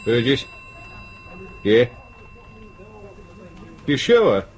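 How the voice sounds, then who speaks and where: A British actor playing a dogged, blunt police detective sergeant in a radio drama, with brisk, gruff colloquial talk. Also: male voice, medium pitch, big pitch swings. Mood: intent, satisfied.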